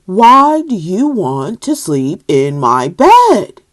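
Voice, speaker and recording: A woman's voice: mid-range voice, big pitch swings, drawn-out words. Woman putting on an emphatic big frog dad. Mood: exasperated. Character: big, loving but weary frog dad.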